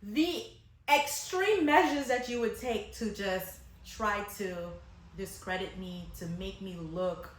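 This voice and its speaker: A woman's voice: medium pitch, slow pace. A woman telling a personal story casually and expressively, with slangy asides to viewers, rhetorical questions and acted-out bits of dialogue. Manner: chatty and slangy, addressing viewers directly. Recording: echoey, reverberant room.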